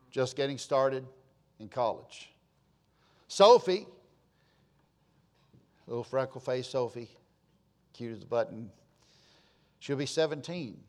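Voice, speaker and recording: A sixty-year-old man preaching a sermon as pastor, repeating phrases and swelling to emphatic peaks. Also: medium pitch. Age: 60s. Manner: slow, repetitive preaching with rising emphatic swells.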